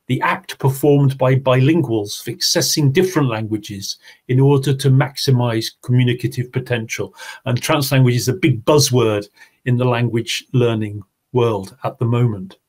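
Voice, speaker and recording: Guy giving a webinar over a video call, mid-pitch voice, walks through his slides. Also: moderate pace, a little mellow. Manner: explanatory, talks through slides. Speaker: webinar presenter. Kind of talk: online teaching seminar.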